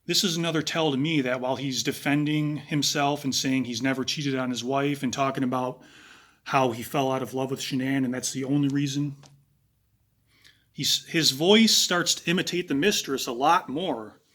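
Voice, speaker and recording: A man with a raspy voice walks through a case in a relaxed commentary style. He thinks aloud, pausing and filling gaps as he goes. His pitch sits in an ordinary middle range. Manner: casual, thoughtful, with frequent pauses and fillers. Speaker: true crime commentator. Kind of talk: analytical video commentary.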